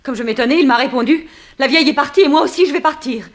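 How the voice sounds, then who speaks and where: Woman playing quick, anxious captive young heroine. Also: high-pitched, lightly acted. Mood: agitated. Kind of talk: 1960s French radio serial acting.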